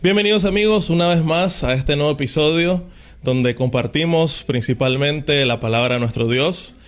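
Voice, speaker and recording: Chatty man hosting a faith interview. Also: a little high. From Nicaragua. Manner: warm, chatty, affirming interjections. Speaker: host.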